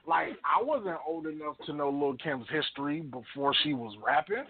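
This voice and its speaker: A tinny, narrow-band recording carries an American man with a slightly high voice. He argues casually as a co-host, using slang and circling back over phrases to press his point. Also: moderate pace, slightly rough. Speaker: radio show co-host. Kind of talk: casual panel debate. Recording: thin phone-like band-limited audio.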